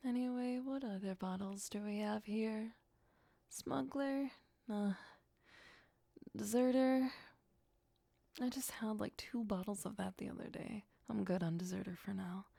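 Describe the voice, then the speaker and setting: A woman playing a possessive, sweetly menacing vampire girlfriend who is centuries old, in a soft, intimate ASMR roleplay, mostly whispered and close to the microphone. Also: mid-range voice, steady tone, measured pace, pauses often, no room sound. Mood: playful, musing. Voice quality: breathy, soft. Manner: coaxing, darkly playful, obsessive. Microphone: close, dry microphone.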